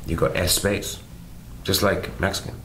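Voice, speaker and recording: A middle-aged man from Canada speaks in a very low register. He rambles along in a loose, self-deprecating way as a guest in a casual interview, with a faint hiss underneath. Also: level tone, normal speed. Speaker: guest actor.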